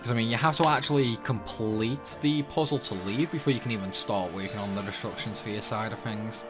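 A man's voice: on the low side, brisk, over music. A man casually commentating a video game playthrough, rambling and talking to himself as he plays. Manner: rambling, talks to himself while playing. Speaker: gaming channel host.

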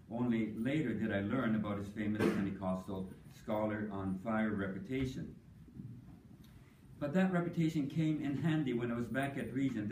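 Older man giving a measured tribute speech. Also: fairly low pitch, noisy background. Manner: measured, reads prepared remarks with frequent ums and dry humor. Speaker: panelist speaking at an academic tribute session. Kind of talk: prepared tribute speech with anecdotes. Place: conference hall. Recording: echoey hall, voice from a distance.

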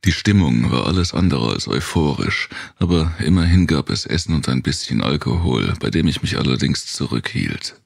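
Very deep, gravelly male audiobook narrator. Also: lively intonation, moderate pace, hardly any pauses, dry recording, studio quality. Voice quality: gravelly, husky. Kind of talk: dramatic first-person audiobook narration of a dark dystopian thriller.

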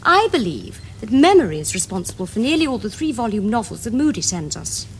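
A woman's voice: medium pitch, very animated, brisk, slight background noise. An actress playing a naive, sweetly artful young upper-class English girl in a period comedy, earnest and well-enunciated. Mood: earnest, airy. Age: young adult. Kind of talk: period comedy screen acting.

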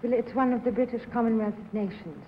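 A young woman from South Africa answering panel questions calmly on a 1950s TV game show, in muffled old broadcast audio. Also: on the high side, fast talker, slight background noise. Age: young adult.

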